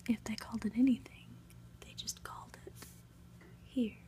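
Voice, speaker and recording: A woman softly whispering a story aloud in an ASMR style, deliberate and lingering on phrases with pauses. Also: a little high, fairly even intonation. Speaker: ASMR reader, channel host.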